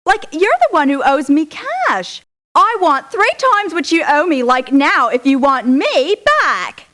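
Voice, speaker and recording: Young valley girl mercenary for a game: whiny, ditzy, very high, animated, American Valley accent. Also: a little slow, full character voice. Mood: dismissive, squeamish. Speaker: video game character voice. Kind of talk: theatrical video game voice clips.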